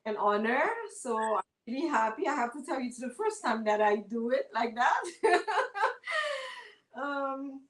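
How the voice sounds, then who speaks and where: A Haitian-born woman physician in her fifties, whose first language is Haitian Creole, introduces herself warmly on a video call with many pauses and ums. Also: on the high side, expressive, reverberant. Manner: warm, a little nervous, many pauses with ums. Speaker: guest, physician. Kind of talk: interview, personal introduction.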